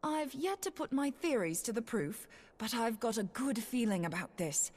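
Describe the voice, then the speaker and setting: A cheeky, practical inventor in a fantasy video game. She speaks with breezy confidence and a very lively, swinging melody. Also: a little high, normal speed. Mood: confident, keen. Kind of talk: scripted fantasy game dialogue.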